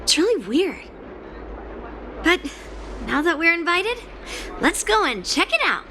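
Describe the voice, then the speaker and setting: A woman's voice: very high-pitched, big pitch swings, measured pace, background noise, exaggerated voice. A woman voicing a blunt, sword-loving young girl swordmaster in a game, speaking plainly and directly. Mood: curious, casual. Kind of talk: scripted game dialogue acting.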